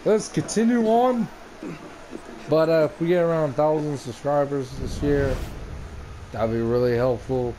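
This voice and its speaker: A man's voice: medium pitch, level tone, normal speed, mellow. A man from the United States giving casual, rambling let's play commentary into a gaming headset. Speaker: gamer recording a let's play. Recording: noisy headset mic, game ambience. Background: video game ambience.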